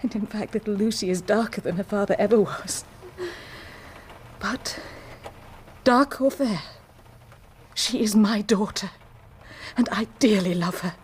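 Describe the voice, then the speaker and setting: An actress playing an anguished, dignified married woman confessing a secret, speaking formally and with deliberate passion in a period radio drama. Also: medium pitch, a little hiss or hum, close to an everyday voice. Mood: proud, tender. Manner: formal, deliberate, impassioned, declamatory.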